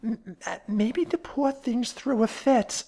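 Shrill fat tenement lady, suspicious and fussy, rough grammar, pitched way up, audiobook style. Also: takes it slowly, dark tone, full character voice. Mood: puzzled, gossipy. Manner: stolid, colloquial uneducated speech, stammers when scared. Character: stout, suspicious, fretful working-class woman building caretaker's wife.

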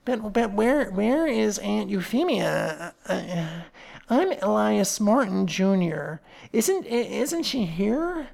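A woman's voice: medium pitch, lively intonation, creaky, a little mellow, pitched above the performer's own voice, exaggerated voice. A woman voicing a nervous, gangly young man who stammers and halts constantly. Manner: heavy stammering, halting.

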